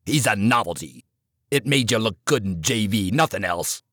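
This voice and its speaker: A man's voice: fairly low pitch, expressive, moderate pace, fairly bright tone, no room sound, studio quality, voice pushed down. A man reading the part of a stubborn male baseball coach, curt and dismissive. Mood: contemptuous.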